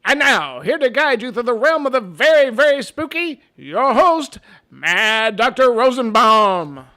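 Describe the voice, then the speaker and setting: Man as a bright, high-pitched radio announcer. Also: sing-song, measured pace, heavily acted. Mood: bombastic. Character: bombastic old-time radio announcer. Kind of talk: 1940s radio announcing parody.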